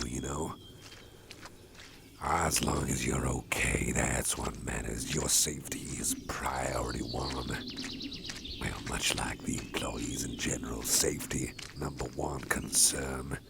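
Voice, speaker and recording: A man voices a soft-hearted, protective boss in an ASMR roleplay, whispering close and slow. His voice sits extremely low with a gravelly fry. Outdoor farm ambience and animal sounds come through clearly behind him. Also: very noisy, heavily acted. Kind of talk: ASMR personal attention roleplay.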